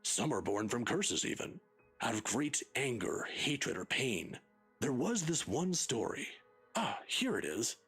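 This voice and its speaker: Husky, lively old professor. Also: male voice, medium pitch, a little slow, soft background music, exaggerated voice. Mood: patient, explaining. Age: older. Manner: scholarly, pedantic, given to chuckling. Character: kindly, patient old male professor of folklore. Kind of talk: video game voice acting.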